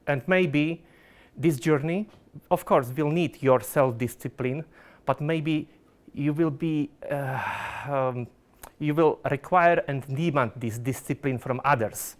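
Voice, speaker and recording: A man's voice: a little high, slow pace. A Slovak man, a product manager, giving a conference presentation in careful non-native phrasing full of fillers and restarts.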